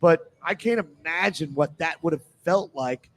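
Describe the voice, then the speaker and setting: A man's voice: a little high, moderate pace, fairly bright tone. A middle-aged man talking casually as a panelist on a sports radio show. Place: live radio show at a casino.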